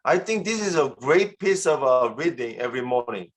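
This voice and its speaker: A man's voice: mid-range voice, animated, moderate pace. A Korean-accented man speaking English as a second language, giving an earnest motivational business talk and often repeating and restarting his words.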